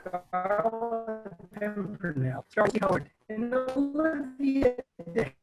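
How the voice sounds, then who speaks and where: A man's voice: high-pitched, animated, takes it slowly, loud music behind the voice. A man reading scripted old-time radio announcements as the show's announcer in a virtual table read. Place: remote video call.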